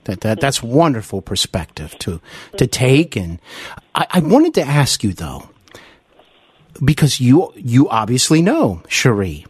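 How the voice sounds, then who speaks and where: A man hosting a podcast interview, asking questions in a deliberate, appreciative, conversational way. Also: medium pitch, big pitch swings, husky, no echo.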